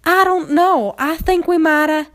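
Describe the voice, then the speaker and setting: Young cartoon filly, woman performer: high voice, folksy drawl, anxious little-sister temper. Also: fairly even intonation, measured pace, a bit bright. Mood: worried. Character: Apple Bloom, nervous young country filly, little sister.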